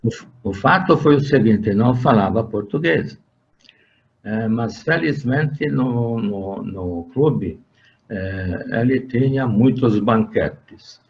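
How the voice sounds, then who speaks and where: An older man from Hungary with a Hungarian accent, giving an interview and recounting his working life, speaking haltingly with many fillers and restarts. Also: mid-range voice, lively intonation, a little hiss or hum. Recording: dull lo-fi sound.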